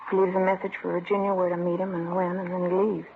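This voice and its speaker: An American actress playing a matter-of-fact, reluctant confidante in a 1950s radio police drama. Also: female voice, fairly low pitch, level tone, fast talker, vocal fry. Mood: casual, reluctant. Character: chatty, reluctant woman, girlfriend's confidante and go-between. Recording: tinny, noisy old radio broadcast.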